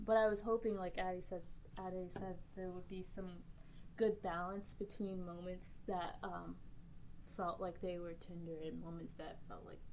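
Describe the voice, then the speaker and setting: Woman, mid pitch, earnest, fillers, faint background hiss. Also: a little mellow, low-quality recording. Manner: earnest, laughs easily, many fillers. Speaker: podcast writer. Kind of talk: casual round-table discussion.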